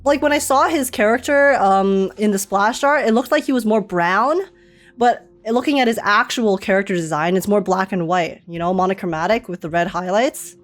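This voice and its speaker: A woman's voice: medium pitch, moderate pace. A young woman giving casual, teasing, slangy live reactions as a game streamer. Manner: playful, teasing, giggly, slangy.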